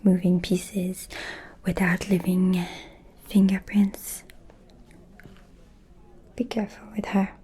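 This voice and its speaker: Slow, whispering scheming vampire noblewoman played by a woman. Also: medium pitch, heavily acted. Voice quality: soft breathy whisper. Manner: slow, deliberate, insinuating with pauses. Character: scheming aristocratic female vampire, seductive gossip confiding in a fledgling. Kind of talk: ASMR roleplay, whispered dramatic monologue.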